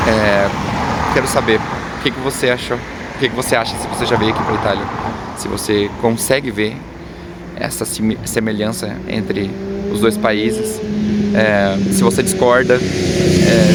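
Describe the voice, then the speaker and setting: A man's voice: mid-range voice, gravelly. A man with a Brazilian accent chatting casually to viewers as a travel vlogger, in a hesitant, rambling way. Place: outdoors on a city street. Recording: loud traffic and street noise.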